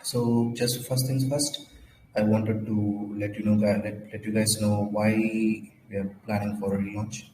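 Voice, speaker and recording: Low, flat-voiced man with a cold. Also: roomy sound, slight background noise, rough recording. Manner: halting, repeats words and restarts often. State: has a cold, slightly hoarse. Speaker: crypto project founder hosting a livestream. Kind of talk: casual livestream update.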